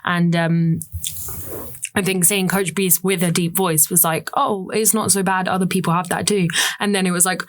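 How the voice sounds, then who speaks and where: Deep-voiced young woman chatting bluntly. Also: little pitch movement, normal speed, a little hiss or hum. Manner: blunt, rambling, lots of fillers and laughs. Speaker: podcast co-host. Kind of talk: casual chat.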